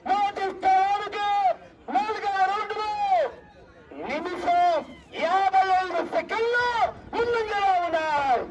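A man giving loud, exclamatory live race commentary as an announcer, chanting repeated cries and calling out names. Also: very high-pitched, a little slow, muffled recording. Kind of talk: excited live race commentary with shouted cheers and name announcements. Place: outdoors at a rural race ground. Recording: distant outdoor sound, loud crowd and vehicle noise. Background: loud crowd, traffic and outdoor noise.

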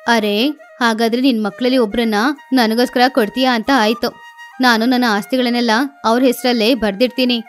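A woman voicing a rich, childless female eagle in a cartoon, measured and earnest, longing for a child. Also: a little high, fairly even intonation, soft background music, pitched below the performer's own voice, close to an everyday voice. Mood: hopeful.

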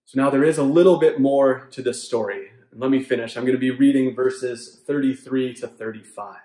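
Earnest pastor in an echoey room. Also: male voice, mid-range voice. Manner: earnest, measured, softening to a hushed prayer. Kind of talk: sermon with closing prayer. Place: home room with bookshelves, indoors.

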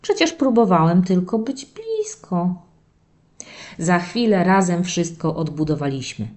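A woman voicing a playful young male terrier puppy who tells stories from his diary to children in an expressive, dramatic way. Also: fairly low pitch. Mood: playful, warm. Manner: expressive, drawn-out dramatic telling addressed to children. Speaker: narrator of a children's audio story series. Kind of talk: animated first-person children's storytelling.

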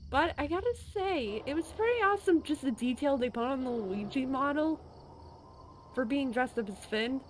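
Chatty high-voiced woman reacting to a video. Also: normal speed, slight background noise, low-quality recording. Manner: excitable, loud gasps and cries of alarm; chatty, often repeats "I gotta say". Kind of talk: casual reaction commentary. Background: music from the clip she is watching.

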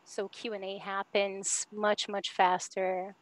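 Woman, thirties, Brazil-born panelist, halting casual talk over a video call. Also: mid-range voice, little pitch movement, dry recording, rough recording. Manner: hesitant, many fillers, laughs easily. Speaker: panelist, architect. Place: home.

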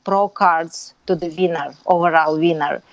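A woman's voice: on the low side, fairly even intonation, normal speed, no echo. A woman in her thirties from Russia, with a Russian accent, speaking frank, eager, slightly broken English as an interview guest over a video call. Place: indoor room at home. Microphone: earbud headset mic on a video call. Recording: dry, slightly dull call audio.

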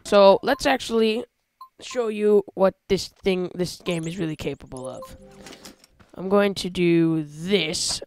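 A man hosting a casual gameplay video, talking through how he builds a vehicle, with excited yelps when he drives it. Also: high-pitched, takes it slowly, faint music behind. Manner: chatty, explains while building, excited yelps when driving. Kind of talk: casual let's-play commentary.

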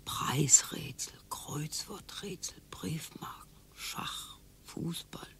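A weary, sharp-tongued old wife. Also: female voice, sits low, in a whisper, exaggerated voice. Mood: grumbling. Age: 60s. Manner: slow, tired, mocking. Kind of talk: radio play acting.

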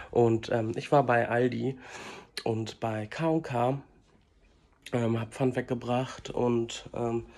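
Slow, gravelly young man with a cold. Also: mid-range voice. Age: young adult. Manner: slow, halting, many fillers. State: stuffy with a cold, coughing. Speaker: vlogger. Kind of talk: casual daily vlog to camera. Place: small home kitchen and flat indoors. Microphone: handheld phone at arm's length.

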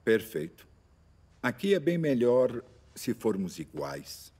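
A man's voice: mid-range voice, slightly rough, full character voice. A male actor with a Brazilian accent dubbing an unflappable older speech therapist, informal, probing and wryly insistent. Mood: calm, inviting. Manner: calm, measured, wry, insistent. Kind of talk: film dubbing in Portuguese.

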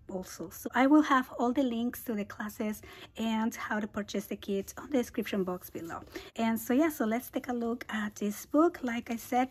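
A woman hosting a scrapbooking tutorial, hoarse and half-whispering as she loses her voice, chatty with small laughs as she walks through her album. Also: fairly high pitch, a little mellow. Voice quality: husky. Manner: casual, chatty, laughs between phrases. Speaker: scrapbooking channel host. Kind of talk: craft tutorial walkthrough. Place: indoors at a craft table.

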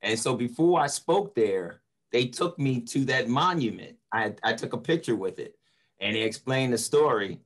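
A middle-aged man with a mid-pitched voice speaks as a practised motivational speaker. He tells personal stories in a conversational way, recorded on a computer mic during a video call at home. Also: expressive, moderate pace. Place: indoor home room. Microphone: computer microphone on a video call.

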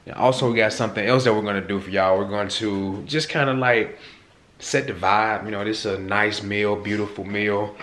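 A man's voice: fairly low pitch, fairly even intonation, fairly quick, a bit bright, a little hiss or hum. Laid-back man talking to the camera. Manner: laid-back, talks straight to viewers with lots of slang. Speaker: vlog host. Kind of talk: casual cooking vlog chat. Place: home kitchen and living room, indoors. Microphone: handheld camera at arm's length.

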